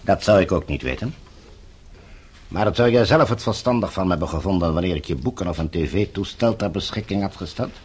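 An actor playing a falsely courteous, menacing captor and regime agent in a Dutch radio drama, speaking in measured, formal, condescending phrases. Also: male voice, deep voice, a little mellow, husky.

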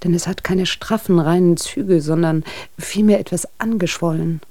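A woman's voice: fairly low pitch, steady tone, moderate pace, warm tone, dry recording, close to an everyday voice. An actress in a German radio drama playing a gossiping, disparaging society lady who speaks in a confiding, critical way. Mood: catty.

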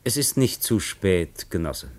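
An actor playing a cold, commanding revolutionary leader giving orders in a radio play. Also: male voice, low voice, sing-song. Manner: measured, authoritative, cold.

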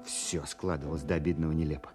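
A proud young captain with a low voice, telling his own wartime story in an audio drama. He is lovestruck yet resentful, measured and expressive, with music faintly behind him. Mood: indignant, aggrieved. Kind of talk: Soviet-style audio drama, first-person narration and dialogue.

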